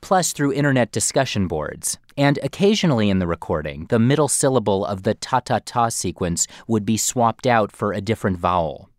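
A man narrating a science feature in a scripted, clear and explanatory way. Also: lowish voice, expressive, fairly quick, hardly any pauses, no room sound, studio quality.

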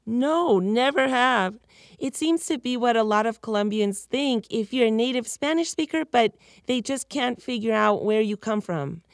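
A woman's voice: on the high side, lively intonation, normal speed, dry recording, studio quality. A woman, a reporter narrating a podcast story in a candid, personal way. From United States (Miami). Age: 30s.

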